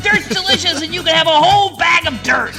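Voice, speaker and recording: A man putting on a brash, mocking game-show host with a loud, exaggerated announcer delivery. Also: very high-pitched, fairly quick, faint music behind, heavily acted. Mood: hammy announcer.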